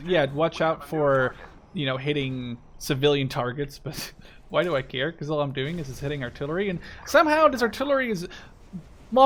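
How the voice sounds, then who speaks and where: A man in his twenties giving casual, rambling, enthusiastic gameplay commentary with a lot of swearing. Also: a little high. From Canada-based Dutch. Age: 20s. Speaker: gaming video host. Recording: clear background game noise. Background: war strategy game sounds.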